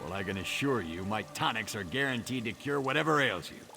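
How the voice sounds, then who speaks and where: An actor playing a shifty orc tonic peddler in a video game, smooth-talking and blustering, self-righteous and quick to defend himself. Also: male voice, fairly low pitch, animated, music in the background. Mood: brusque, evasive. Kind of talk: video game character acting.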